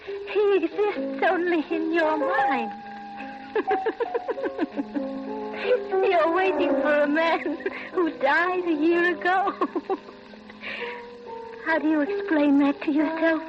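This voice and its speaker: A woman acting a desperate young hard-bitten accomplice in a 1940s radio melodrama, deliberate and dramatic. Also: extremely high pitch, heavily acted. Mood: pointed. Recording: muffled vintage radio, heavy music.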